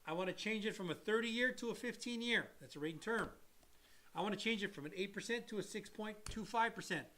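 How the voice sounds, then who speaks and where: Emphatic high-voiced mortgage loan officer. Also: male voice, brisk, bright, forceful tone. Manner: emphatic, repeats key points, blunt asides. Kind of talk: casual explanatory chat, answering viewer questions.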